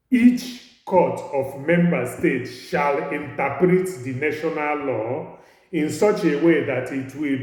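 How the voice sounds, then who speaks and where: A man with a Nigerian English accent giving a university law lecture, halting and repeating words as he explains. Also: on the high side, a little mellow. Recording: very echoey room.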